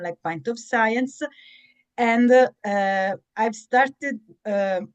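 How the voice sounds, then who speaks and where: This is a woman in her thirties with a clear Italian accent, speaking at a medium pitch for a woman. She gives an educational presentation as a marine biologist. Her delivery is halting, full of fillers and self-corrections. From Italy. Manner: hesitant, frequent "uh" fillers and restarts.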